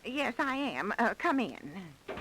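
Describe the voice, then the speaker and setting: A vintage radio drama recording of a nosy, worried middle-aged housewife. Her voice is fairly high and swings up and down a lot. She talks in a folksy, hesitant, insistent way. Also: big pitch swings, unhurried, fairly bright tone, slight background noise, full character voice, rough recording. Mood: anxious, gossipy. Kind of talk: 1930s radio drama acting. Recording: vintage 1930s radio broadcast.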